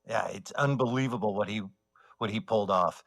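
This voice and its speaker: A man's voice: lowish voice, vocal fry, fairly bright tone. A man chatting casually as an interview guest, joking and often starting his sentences over. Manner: joking, halting with false starts. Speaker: radio theater writer and voice actor, interview guest. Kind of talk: casual chat.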